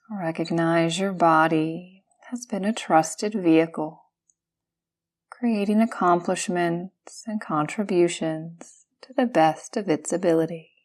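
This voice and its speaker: Slow, soothing woman guiding meditation. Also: lowish voice. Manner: gentle, soothing, unhurried instruction with long pauses. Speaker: yoga nidra guide. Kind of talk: guided meditation.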